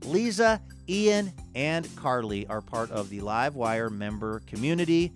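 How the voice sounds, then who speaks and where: A man's voice: mid-range voice, very animated, a little slow. A male radio show host announcing upbeat and friendly in front of a live theater audience. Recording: live theater broadcast with music and crowd.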